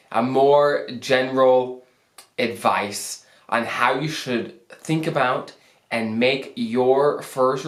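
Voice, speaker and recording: Young man, host, deep from a cold, upbeat and chatty, mid pitch, roomy echo. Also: a bit bright. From Arizona, US. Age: young adult. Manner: upbeat, conversational, self-joking. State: voice deepened by a recent cold. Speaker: financial planner hosting a channel. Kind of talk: casual advice talk to camera. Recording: echoey room.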